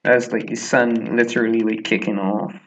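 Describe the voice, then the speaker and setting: A man's voice: lowish voice, level tone, normal speed, fairly bright tone, poor audio. A young man in his twenties with a Barbadian accent, talking casually while he plays a game, wandering through his thoughts with lots of fillers and little chuckles. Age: 20s. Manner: meandering, filler-heavy, chuckling asides. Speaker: gaming vlogger. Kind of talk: rambling casual commentary while gaming. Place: small room at home, echoey.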